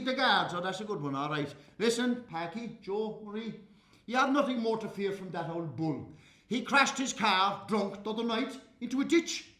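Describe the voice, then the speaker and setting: Booming, gushing Irish shopkeeper in a stage monologue, high and loud, as a man puts him on, echoey room. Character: jovial booming male hardware shopkeeper, middle-aged.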